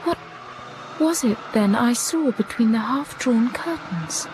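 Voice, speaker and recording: Slow, high-pitched, haunted young woman. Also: lively intonation, background noise. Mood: hushed, haunted. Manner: slow, hushed, intense, rising to passion. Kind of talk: literary radio drama narration and acting. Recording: music behind the voice.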